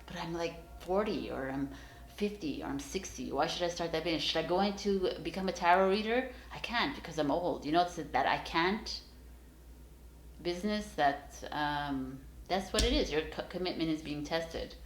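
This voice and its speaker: Low-voiced woman reading tarot. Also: normal speed, roomy sound. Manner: rambling, encouraging, many fillers and restarts. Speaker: tarot reader. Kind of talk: casual tarot reading to camera.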